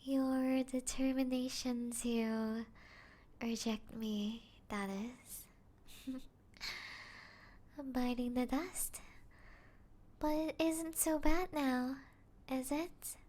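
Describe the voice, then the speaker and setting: A woman voicing a seductive, teasing female vampire in an intimate ASMR roleplay, breathy and often close to a whisper. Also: high-pitched, fairly even intonation, very slow, pauses often, darkish tone. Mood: seductive, smug.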